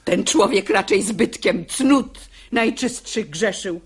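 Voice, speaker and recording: A woman's voice: mid-range voice, slow pace, breathy edge. An actress playing a stubborn, sanctimonious elderly mother in a radio play, preaching in theatrical verse. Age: older. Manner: sententious, theatrical.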